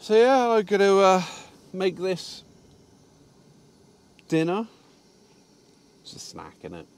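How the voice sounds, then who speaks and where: A man's voice: high voice, wildly swooping pitch, takes it slowly, gravelly, clear, resonant tone. A man chatting casually about his day while parked in his truck cab, rambling with fillers and restarts. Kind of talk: casual vlog chat.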